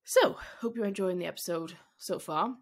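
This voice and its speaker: A woman talks through her thoughts on a solo podcast, her voice croaky and husky because she has been ill. She sits a little low in pitch and speaks candidly, circling back with fillers and restarts as she works out each point. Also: normal speed. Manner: candid, thinking aloud with frequent fillers and restarts. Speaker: podcast host.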